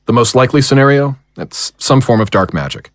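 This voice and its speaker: A man's voice: mid-range voice, animated, a little fast, dry recording, clean studio sound, lightly acted. A man playing a commanding monster hunter who leads a ritual battle in an audio drama. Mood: focused.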